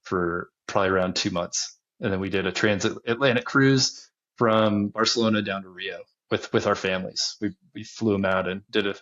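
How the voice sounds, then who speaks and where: A man in his thirties, a podcast guest, talking casually about his business and travels, relaxed and often chuckling. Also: lowish voice, moderate pace, muffled recording. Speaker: podcast guest, land investor. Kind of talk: casual interview talk.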